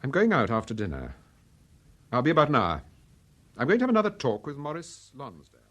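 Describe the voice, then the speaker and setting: A man acting in a radio drama as a suave, protective gentleman detective with a British Received Pronunciation accent. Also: medium pitch, wildly swooping pitch, brisk, lo-fi sound. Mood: businesslike.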